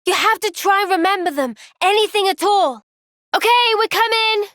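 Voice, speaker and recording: An actress voicing a gentle, kind and steady young woman soldier in a game's cutscenes, with a Welsh accent and a soft-spoken, caring, thoughtful way of talking. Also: very high-pitched, normal speed, dry recording, studio quality. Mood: urgent. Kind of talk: video game cutscene dialogue. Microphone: close studio microphone.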